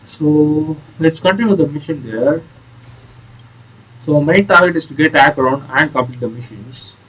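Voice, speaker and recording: A man giving casual, explanatory commentary on a video game playthrough in a hesitant, halting way. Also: fairly high pitch, fairly bright tone, slightly rough, poor audio. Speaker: gaming video creator.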